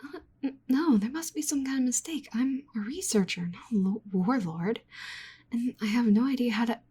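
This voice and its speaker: A woman playing a nerdy, flustered slime-girl researcher in an ASMR roleplay, speaking softly with fussy, rambling, stammering exasperation. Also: on the high side, normal speed, slightly rough. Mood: exasperated, pleading.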